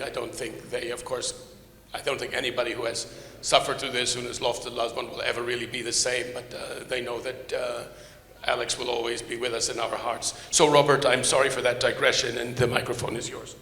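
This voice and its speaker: A man whose first language is Polish, moderating a panel in English, formal and courteous, halting with many fillers and restarts. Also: medium pitch, little pitch movement, pushed and bright, a little hiss or hum. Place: large conference hall with podium. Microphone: microphone. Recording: echoey hall with long reverb.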